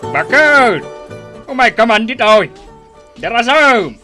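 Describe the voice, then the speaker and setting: Impatient older household grouch, Ilocano accent, sharp tone, barking, radio drama. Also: female voice, on the high side, sing-song, takes it slowly, faint music behind, heavily acted.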